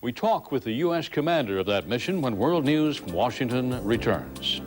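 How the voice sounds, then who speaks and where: Man, news anchor, polished, measured, scripted narration, interview questions. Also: medium pitch, expressive, loud music behind the voice.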